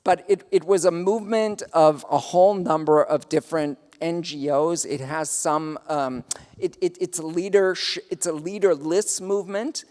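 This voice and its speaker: Man, South African accent, lecturer, fairly high voice, hesitant, lots of restarts, long hall reverb. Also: fairly even intonation, moderate pace, a little mellow. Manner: thoughtful, hesitant, many fillers and restarts. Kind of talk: lecture with audience Q&A.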